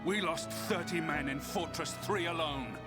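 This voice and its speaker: A man's voice: on the high side, measured pace, loud music behind the voice, close to an everyday voice. A man playing a bitter grieving soldier. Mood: anguished. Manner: intense, self-blaming.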